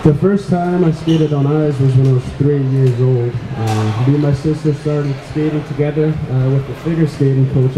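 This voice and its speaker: A man's voice: mid-range voice, reverberant, rough recording. A young man with a Swiss German accent, answering fan questions in a plain, matter-of-fact way. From Switzerland. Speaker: hockey defenseman guest. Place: indoor rink event stage. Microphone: handheld mic.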